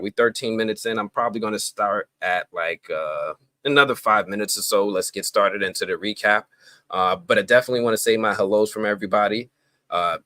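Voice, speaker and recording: A man hosting a live stream, chatting casually and greeting viewers in slang-heavy talk, his voice jumping up with excitement. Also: fairly low pitch, dry recording, studio quality. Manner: excitable, voice jumps up when greeting people; relaxed slang-heavy talk with many fillers.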